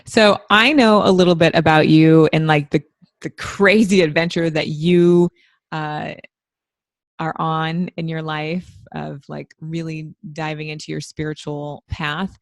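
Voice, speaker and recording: Low gravelly-voiced woman podcast host. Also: steady tone, measured pace, dry recording, studio quality. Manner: chatty, rambling, self-deprecating. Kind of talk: casual podcast interview.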